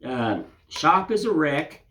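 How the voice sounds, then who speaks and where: Older man, hoarse and raspy from a cold; folksy rambling host, slightly higher voice. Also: sing-song, takes it slowly, a bit bright, slight background noise. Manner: rambling, homespun, folksy filler phrases. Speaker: woodcarving channel host. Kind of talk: casual chat to camera.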